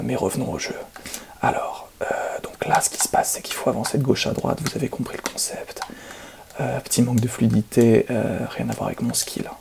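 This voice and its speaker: A man playing a detestable, boastful speedrun streamer who blames bugs, commentating on his game in a whispered ASMR roleplay with a condescending, rambling manner. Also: mid-range voice. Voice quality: breathy whisper with creaky edges. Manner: smug, condescending, hesitant rambling delivery. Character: detestable, boastful, deluded male speedrun gamer blaming bugs.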